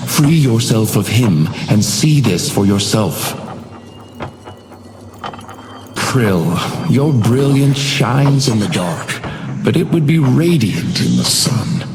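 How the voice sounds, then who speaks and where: A supernatural hotel doorman from a video game, speaks with oily, theatrical politeness and veiled menace. His mid-pitched voice swoops widely from phrase to phrase, over prominent music. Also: big pitch swings, takes it slowly. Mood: grave, coaxing. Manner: theatrical, unctuously polite, insinuating. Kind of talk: scripted video game character lines.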